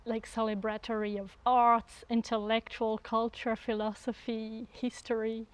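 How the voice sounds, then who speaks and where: A woman in her thirties from France, a guest sound artist talking unhurriedly and often pausing to search for words. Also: a little high, flat delivery, no echo, rough recording. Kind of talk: casual conversation.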